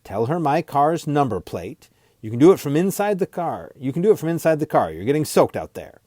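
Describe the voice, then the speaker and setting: A man voicing an affable, bumbling gentleman in a storybook, with polite, hesitant, old-fashioned clipped phrasing. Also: fairly high pitch, very animated, brisk, no echo, clean studio sound, pitched above the performer's own voice. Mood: brisk, helpful. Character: affable, bumbling, well-mannered English gentleman stranger, chatty.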